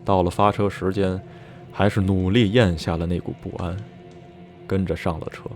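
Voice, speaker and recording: A man playing an uneasy survivor of a sinister town, recounting dreadful memories in measured, literary Mandarin for a radio drama. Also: low voice, fairly even intonation, darkish tone. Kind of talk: radio drama acting, narrating in interview. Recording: music behind the voice.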